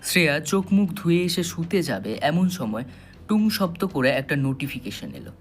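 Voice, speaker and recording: A man tells a scripted thriller as its narrator. His voice sits a little high, the delivery is dramatic and suspenseful, and music runs behind it. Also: sing-song, normal speed. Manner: suspenseful storytelling. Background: background music.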